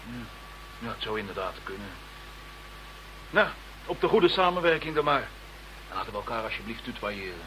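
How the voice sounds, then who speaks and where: A man playing a British police chief inspector in a radio drama. He is affable and polite, a little hesitant, mid-pitched, with a faint hum underneath. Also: expressive, moderate pace, pauses often, a bit bright, lightly acted, poor audio. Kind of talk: radio play acting.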